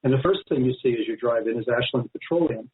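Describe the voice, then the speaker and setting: An American man, an author answering as an interview guest, explaining in measured, storytelling phrases. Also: medium pitch, breathy edge. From United States. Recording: narrow phone-line sound.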